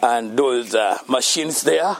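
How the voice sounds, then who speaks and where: A man's voice: mid-range voice, slow, slight background noise. A man from Uganda, giving an earnest faith-based talk about being thankful to God and often laughing between his points. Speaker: speaker at a children's home.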